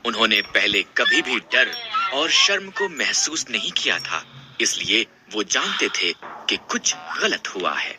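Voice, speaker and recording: A man's voice: mid-range voice, sing-song, unhurried, over music. A man narrating a scripted Bible story in a dramatic storytelling style.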